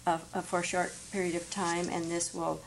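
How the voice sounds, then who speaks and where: A woman's voice: on the low side, level tone, normal speed, creaky, slight background noise, low-quality recording. An older woman on a studio panel sharing practical home cooking tips in a chatty, homely way. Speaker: panel guest. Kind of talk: conversational studio panel chat. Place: TV studio set, indoors.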